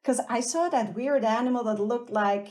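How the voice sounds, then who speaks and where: A woman's voice: a little high, darkish tone. A woman from Switzerland, a podcast guest telling personal stories conversationally in a light-hearted way. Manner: light-hearted, quick to laugh. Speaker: podcast guest, armadillo researcher.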